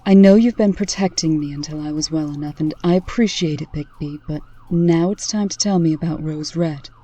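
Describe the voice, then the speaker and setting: A woman's voice: low voice, a little fast, warm tone, no room sound, dull audio. A woman playing a wry, authoritative female administrator in a comic dub. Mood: firm, insistent.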